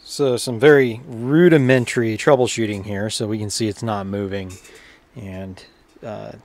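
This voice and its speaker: A man giving casual, hands-on repair commentary in an informal, unhurried way, thinking aloud as he works. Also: lowish voice, steady tone. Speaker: repairer presenting his own workshop video.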